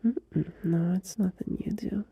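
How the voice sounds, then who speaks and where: Guy playing a sleepy sweet bf whispering right by the mic, dark husky tone, real slow. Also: sits high, fairly even intonation, highs cut off, exaggerated voice. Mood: tender, sleepy. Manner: soft drowsy whisper, unhurried, with small laughs. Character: gentle, loving young boyfriend soothing a partner at night. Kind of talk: ASMR audio roleplay. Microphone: close mic.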